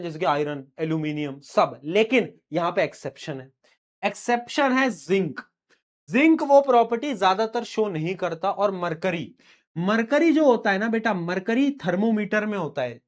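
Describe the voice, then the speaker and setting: A young man with a fairly high voice teaching a live online lesson. His throat is sore and he coughs now and then. He talks in a loose, teasing way with his students. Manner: informal, teasing, addresses students as beta.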